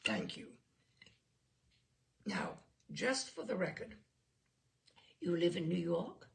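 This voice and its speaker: Woman voicing methodical examiner, courteous, formal, creaky, animated questioning, comic audiobook. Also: lowish voice, takes it slowly, voice pushed down, full character voice.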